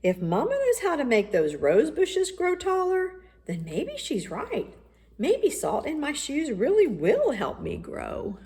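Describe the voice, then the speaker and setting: An eager young boy played by a woman reader from a children's storybook, with a very lively, swooping voice. He is earnest and questioning, longing to be bigger. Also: high voice, a little slow, pitched above the performer's own voice, full character voice. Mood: excited, wondering. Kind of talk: children's storybook read-aloud.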